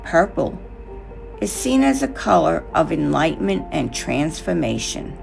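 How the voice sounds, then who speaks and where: A woman reads a reflective craft narration in short, measured phrases. Her delivery is unhurried, with gravelly fry at the edges and expressive rises and falls in pitch. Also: faint music behind. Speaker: craft tutorial host. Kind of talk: scripted narration over a craft video.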